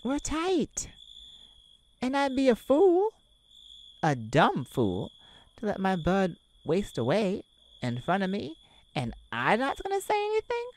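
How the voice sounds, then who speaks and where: A woman's voice: mid-range voice, huge pitch swings, a little mellow, rough recording. A woman voicing a sassy, kind-hearted cat friend in an ASMR roleplay, theatrical and teasing as she scolds the listener in a motherly way. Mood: affectionate, firm. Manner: theatrical, teasing, drawn-out emphasis. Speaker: audio roleplay performer.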